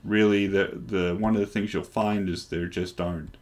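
A man in his forties on a headset mic, casually talking through a software install with many ums and restarts. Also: sits low, flat delivery, moderate pace. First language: English. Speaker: developer presenting hardware. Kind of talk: casual screen-recorded tech demo. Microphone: headset microphone.

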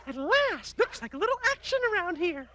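A woman's voice: very high voice, big pitch swings, brisk, pushed and bright, slight background noise, full character voice. A woman voicing an eager, playful little male puppy in a cartoon, innocent and pleading. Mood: playful, excited. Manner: bubbly, pleading. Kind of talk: cartoon voice acting.

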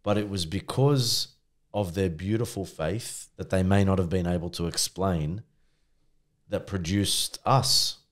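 A man co-hosting a podcast, speaking in a measured, earnest and reflective way. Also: low-pitched. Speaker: podcast co-host. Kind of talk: casual conversation.

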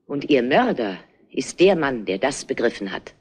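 A middle-aged actress playing a proud, grieving mother in a German crime drama, speaking in a deliberate, halting, emphatic way. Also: a bass voice, big pitch swings, husky, exaggerated voice. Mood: bitter, defiant. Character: grieving, proud mother defending her dead daughter.

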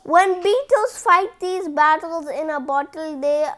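A read-aloud presenter reading a picture book in an animated way, with casual asides to viewers and some stumbling over the words. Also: high-pitched, a little slow. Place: indoors at home, book on a cloth.

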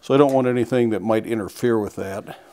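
A middle-aged blacksmith explaining step by step in a plain, unhurried way as he demonstrates forging in a small workshop. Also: lowish voice, warm tone. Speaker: blacksmith instructor. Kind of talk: hands-on demonstration. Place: small workshop forge, indoors.

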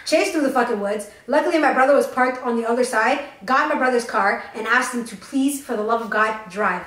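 A young woman co-hosting a podcast, telling a story fast and with lots of swearing while doing her makeup on a couch. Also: on the high side, roomy sound. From Tennessee. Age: young adult. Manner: fast, profane, animated storytelling. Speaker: podcast co-host. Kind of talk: casual storytime chat. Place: living room, on a green couch.

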